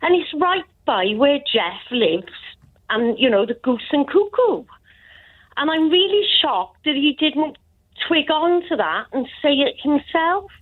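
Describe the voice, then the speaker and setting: A woman's voice: sits high, very animated, measured pace. A Welsh woman calling a radio phone-in, chatty and mock-indignant, giggling as she talks. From Wales. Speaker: caller. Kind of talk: phone-in call. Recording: tinny phone line.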